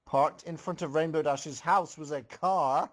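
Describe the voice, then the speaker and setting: A man's voice: fairly high pitch, fairly even intonation, unhurried, crowd noise. A man reading a fan story aloud in narration, with casual comments of his own.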